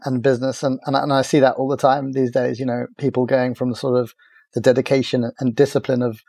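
Gravelly, flat-voiced man. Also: medium pitch, dry recording, clean studio sound. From Ireland. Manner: halting, many restarts and fillers, relaxed. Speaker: podcast guest, agency founder. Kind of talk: casual interview chat.

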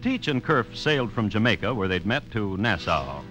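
A man's voice: on the low side, very animated, moderate pace, a bit bright. A man narrating a classic radio drama as scripted storytelling, in a dramatic, theatrical manner. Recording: music behind the voice.

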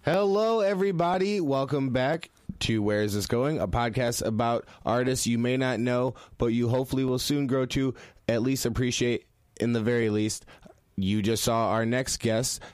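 A man's voice: medium pitch, moderate pace, darkish tone, no room sound, clean studio sound. A man hosting a podcast in a casual interview chat, upbeat and chatty and laughing at his own slip-ups. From Holland, Michigan. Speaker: podcast host.

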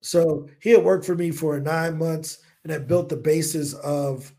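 A man in his forties from the United States, a business owner telling stories on a podcast in an energetic, casual way, acting out his own past thoughts. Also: fairly high pitch, measured pace. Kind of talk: casual interview talk. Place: home in Colombia.

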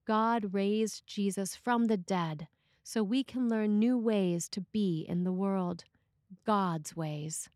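Slow-paced woman reading a sermon. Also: medium pitch, darkish tone, no echo, clean studio sound.

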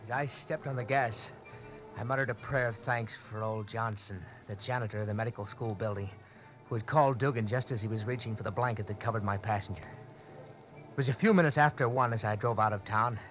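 A man's voice: lowish voice, brisk, dull audio, faint music behind. A man narrating in the first person for a 1940s radio drama, tense and nervous. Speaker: narrator and lead character.

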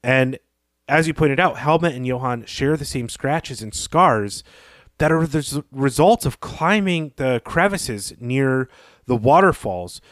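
A man's voice: medium pitch, animated, a little slow, fairly bright tone, no echo. A man co-hosting a podcast, reflectively analyzing a story in casual conversation. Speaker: podcast co-host.

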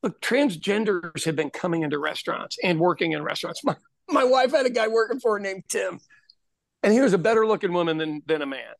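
Animated middle-aged man telling stories, dry sound. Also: sits high, brisk, gravelly, no echo. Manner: animated storyteller, acts out quoted voices. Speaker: podcast host. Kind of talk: casual conversation.

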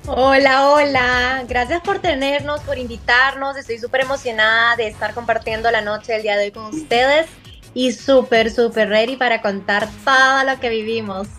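A woman's voice: on the high side, steady tone, moderate pace, pushed and bright, faint music behind. A woman from Central America speaking casually and enthusiastically as a podcast guest. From Central America, half Costa Rican and half Salvadoran. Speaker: reality show contestant as podcast guest. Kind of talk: casual introduction.

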